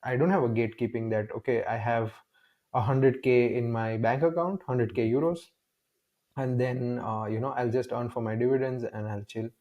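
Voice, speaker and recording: A man's voice: mid-range voice, moderate pace, muffled recording. A young man from India, a guest in an interview, telling stories animatedly, acting out little dialogues and often restarting his sentences. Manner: animated storytelling, acts out dialogue, many restarts. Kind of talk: casual conversational interview.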